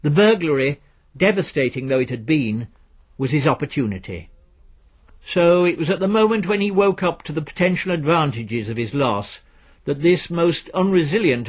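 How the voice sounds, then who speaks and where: A man narrates literary fiction in a measured, wry way. His voice sits a little high for a man and has a husky, unpolished edge. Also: animated. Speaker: audiobook narrator.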